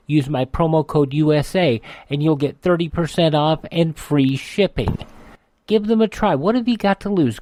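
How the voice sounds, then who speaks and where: A man giving a folksy, conversational testimonial as a pitchman in a radio ad, often repeating himself. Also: a little mellow, no echo. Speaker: advertising pitchman.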